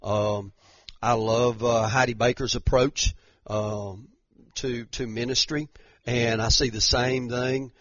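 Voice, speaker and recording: A man's voice: medium pitch, level tone, slow, no room sound, dull audio. A man, a pastor, giving hesitant church announcements full of fillers.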